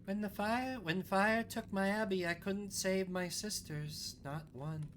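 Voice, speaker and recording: A performer voicing a devout visionary nun, a gentle, bewildered old woman who speaks in a solemn, reverent, measured way over music. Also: medium pitch, slight background noise, natural voice. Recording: music under the voice.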